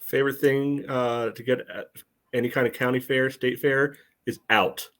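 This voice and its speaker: A man's voice: mid-range voice, steady tone, moderate pace, a bit bright. A man co-hosting a sports podcast, chatting casually with a dry, wry sense of humour. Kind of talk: casual chat.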